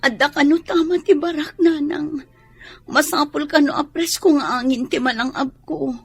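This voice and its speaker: An actress with a Filipino accent playing a meek, pleading young daughter in a radio drama. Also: female voice, high-pitched, normal speed, soft background music. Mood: subdued.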